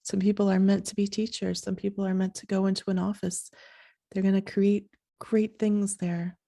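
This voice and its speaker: A woman hosting a podcast in a soft, sleepy voice, talking in a slow, halting, reflective way. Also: mid-range voice, little pitch movement, creaky. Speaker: podcast host.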